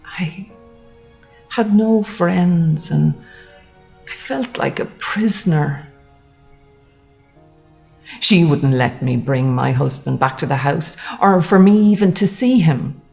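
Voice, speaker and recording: An actress playing a wounded older woman recalling a harsh childhood in a halting, sombre testimony. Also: low voice, expressive, creaky, a little mellow, faint music behind, heavily acted. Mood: sombre, hurt. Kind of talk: dramatised first-person testimony monologue.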